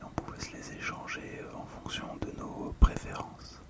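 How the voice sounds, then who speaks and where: A middle-aged ASMR host talking through a collectible in a calm, gentle, hesitant way, close to the microphone. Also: moderate pace. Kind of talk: whispered ASMR commentary.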